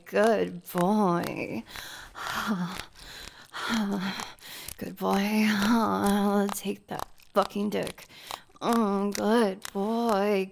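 A dominant, teasing female boss played by a woman in an intimate audio roleplay for adults. Her voice is gravelly with fry and she takes her time, breathing audibly and slipping toward whispers. Clear noise sits behind her. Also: mid-range voice. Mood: breathy, aroused. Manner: breathy delivery with sighs and drops into whispers.

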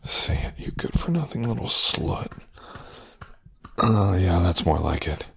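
A man's voice: low voice, big pitch swings, unhurried, gravelly, breathy edge, full character voice. A man playing a cold, domineering, cruel lover in a whispered adult audio roleplay, speaking close and taunting.

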